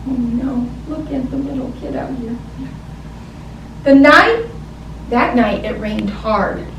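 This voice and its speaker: A woman's voice: sits high, slow, highs cut off. A woman storyteller reading a picture book to young children in a sing-song, child-directed way. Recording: roomy, distant mic, faint noise.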